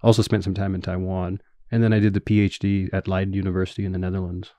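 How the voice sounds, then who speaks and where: A man in his thirties with a Canadian accent, a guest scholar giving measured, explanatory answers in an interview with frequent fillers. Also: sits low, little pitch movement, warm tone, dry recording. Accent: Canadian English.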